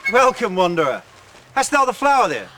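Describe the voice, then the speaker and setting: A man's voice: sits high, wildly swooping pitch, brisk, over music. A man playing an imperious male fairy lord who gives solemn orders in Elizabethan verse. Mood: commanding.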